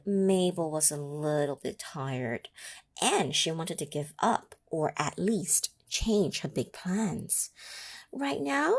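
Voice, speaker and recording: A woman reads a children's picture book aloud slowly, in an expressive and encouraging manner. Her voice is gravelly with fry, and her pitch swings widely as she asks her listeners questions. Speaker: storytime reader.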